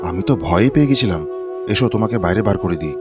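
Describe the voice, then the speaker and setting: A man's voice: sits low, animated, fast talker. Man playing a kind young village husband, music behind. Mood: relieved, caring. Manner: earnest, concerned. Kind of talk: Bengali fairy-tale cartoon acting.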